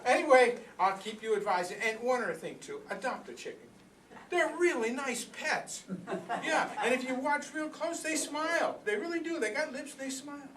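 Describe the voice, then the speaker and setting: A man's voice: very high-pitched, moderate pace, voice pushed up. An older man playing a proud, philosophical old rooster in a folksy, rambling comic monologue. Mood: conspiratorial, indignant. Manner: folksy, rambling, conversational storytelling with repeated phrases. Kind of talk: comic monologue performed live for an audience. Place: indoor workshop room before a group. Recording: echoey room, distant mic, background noise.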